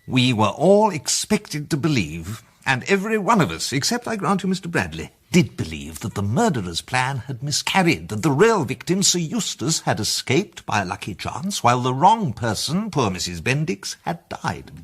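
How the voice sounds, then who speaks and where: Animated, slightly husky British detective. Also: male voice, mid-range voice. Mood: assured, methodical. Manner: deliberate, emphatic, theatrical. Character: confident amateur male detective laying out his case. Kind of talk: British radio drama acting.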